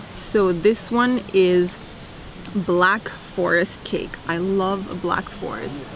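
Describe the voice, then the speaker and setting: A young woman vlogging to camera on an outdoor cafe terrace, chatting and tasting food. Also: mid-range voice. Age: young adult. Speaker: travel vlogger. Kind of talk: casual chat to camera. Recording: noisy outdoor recording.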